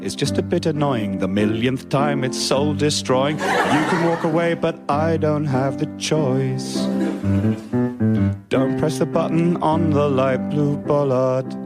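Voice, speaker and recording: A British man playing a long-suffering, resigned zookeeper, singing a lilting comic song. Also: a little high.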